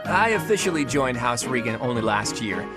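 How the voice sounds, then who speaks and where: A sly young nobleman from a fantasy game, played by a male actor, talks in a breezy, teasing way. His mid-pitched voice swings lively up and down, with music playing underneath. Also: moderate pace, close to an everyday voice. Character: sly, easygoing young nobleman student, secretive and playful. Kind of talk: video game voice acting.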